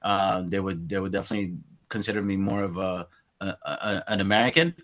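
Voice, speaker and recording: A man's voice: sits low, steady tone, brisk, fairly bright tone. Reflective Spanish-accented man in his forties. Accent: Spanish-inflected. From Colombia. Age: 40s. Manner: reflective, searching for words. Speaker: podcast guest. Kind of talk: casual long-form conversation.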